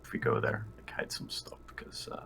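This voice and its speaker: A man playing a tabletop roleplaying game, chatting casually and haltingly as he thinks aloud. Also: fairly bright tone, whispered. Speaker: player. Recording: faint background noise, room ambience.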